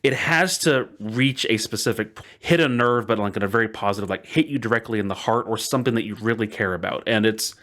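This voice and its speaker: A man's voice: on the low side, a little fast, few pauses, fairly bright tone. A man hosting a podcast in casual storytelling chat, enthusiastic and emphatic, often doubling words for stress. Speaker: podcast host.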